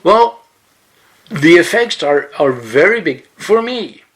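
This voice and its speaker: A man's voice: sits high, sing-song, breathy edge. A man with a Swedish accent, a native Swedish speaker, explaining things carefully in English with fillers and restarts as the host of his own web series. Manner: deliberate, careful in second language, with fillers and restarts. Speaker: yoga webpage host. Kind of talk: explanatory monologue.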